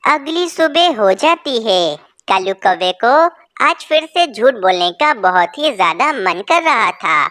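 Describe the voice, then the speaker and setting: A woman tells a children's story as its narrator. Her voice is on the high side and very animated in pitch. The recording is close and dry. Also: a little slow, no room sound.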